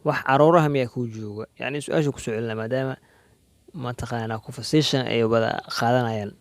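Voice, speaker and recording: A man with a Somali accent teaching English conversation, saying each English phrase slowly and clearly and then explaining it quickly in Somali. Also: mid-range voice, fairly even intonation, no echo. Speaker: language teacher. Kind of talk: slow English dialogue drills with Somali explanations.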